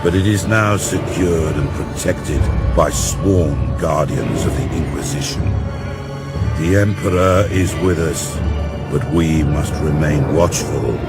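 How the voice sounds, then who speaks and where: Stern space inquisitor from a game, deep voice, real slow, solemn and formal. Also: male voice, heavily acted. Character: solemn, commanding imperial inquisitor, stern and watchful.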